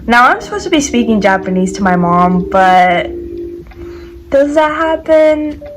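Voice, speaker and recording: A woman's voice: on the high side, sing-song, measured pace. A teenage girl from the United States chatting casually to camera, with lots of "like" and "um" fillers. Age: teens. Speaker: vlogger. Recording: faint background music.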